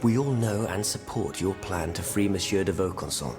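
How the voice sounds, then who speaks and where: Grave revolutionary, lowish voice, formal speechmaker, game cutscene with music behind. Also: male voice, lively intonation. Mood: discreet, persuasive. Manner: measured, formal, oratorical. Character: grave, solemn French revolutionary leader, discreet and persuasive. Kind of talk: video game cutscene acting.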